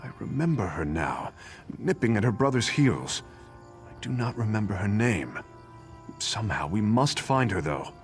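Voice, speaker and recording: An earnest, noble leader from a fantasy game. The voice is on the low side and swings widely, with formal, dramatic phrasing over soft music. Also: male voice, very animated, normal speed. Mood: pondering. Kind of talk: video game dialogue acting.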